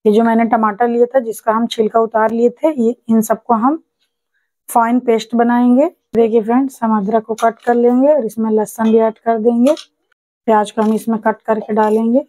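A woman's voice: a little high, dark tone, no echo, rough recording. A woman hosting a cooking channel, patiently walking viewers through a recipe step by step and often calling them friends. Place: home kitchen.

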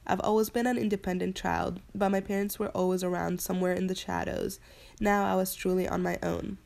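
A young woman from Palestine, a student, narrating her own immigration story in a quiet, reflective way. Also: mid-range voice, steady tone, a little fast, creaky. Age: young adult. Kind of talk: personal narration.

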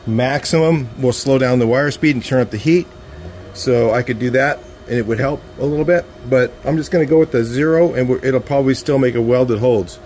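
A man's voice: medium pitch, brisk, a little hiss or hum. A middle-aged man giving a casual hands-on welding lesson in an outdoor driveway workshop, talking plainly as he works, filmed first-person on the camera's own mic. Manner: relaxed, plainspoken, talks while working. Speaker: garage welding instructor.

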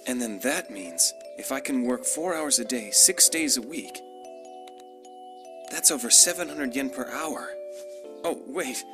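A man's voice: medium pitch, expressive, measured pace, pauses often, natural voice. A man playing an earnest, easily panicked young student who mutters to himself in an anime dub. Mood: worried. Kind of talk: English anime dub acting. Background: music.

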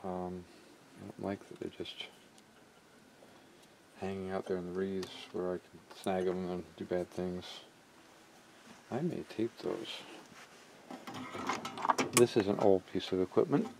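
A man narrating a hands-on repair casually, haltingly thinking aloud as he works. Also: sits low, steady tone, gravelly, noisy background. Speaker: repair hobbyist and video maker. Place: indoor cluttered electronics workbench.

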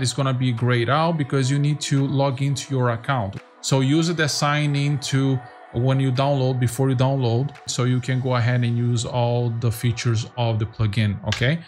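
A man's voice: mid-range voice, fairly even intonation, normal speed. Man giving a casual tutorial over music. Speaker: tutorial host. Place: small home streaming room. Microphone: close desk microphone.

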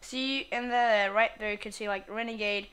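Bright, casual woman gamer commentating. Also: fairly high pitch, fairly even intonation, normal speed, clear, resonant tone. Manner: crude, sweary, playful. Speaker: gamer recording a playthrough.

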